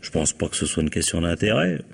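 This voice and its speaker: An actor playing a shrewd, self-assured police inspector in a mystery radio play, with a measured, probing, quietly triumphant delivery. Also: male voice, low-pitched, sing-song, creaky, breathy edge, exaggerated voice. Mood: probing, satisfied.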